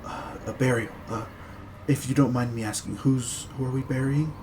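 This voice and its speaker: A man voicing a nervous older male village priest who stammers and speaks softly. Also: mid-range voice, steady tone, moderate pace, noisy background, natural voice. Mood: solemn. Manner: stammering, hushed.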